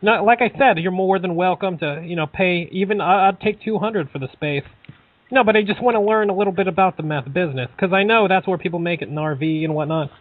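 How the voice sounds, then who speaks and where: A man making a deadpan prank phone call, hesitant and stammering as he feigns earnest sincerity. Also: sits high, fast, no echo. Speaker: prank caller.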